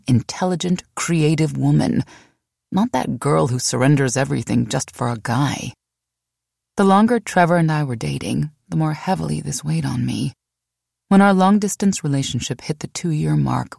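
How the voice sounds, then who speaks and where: A woman reading a memoir as an audiobook narrator, in a measured, expressive storytelling manner. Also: low voice, gravelly, no room sound, studio quality.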